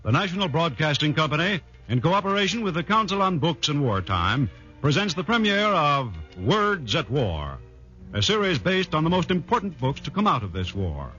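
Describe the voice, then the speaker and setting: A man's voice: fairly high pitch, sing-song, moderate pace, bright, forceful tone. An American man announcing in a formal, declamatory 1940s radio style. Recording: muffled 1940s radio, music behind.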